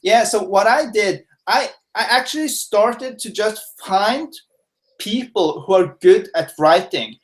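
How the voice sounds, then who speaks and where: A man's voice: sits high, animated, takes it slowly. A man from Norway, a guest expert giving casual, filler-heavy answers in a webinar interview from his home office. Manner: casual, chatty, lots of fillers and restarts.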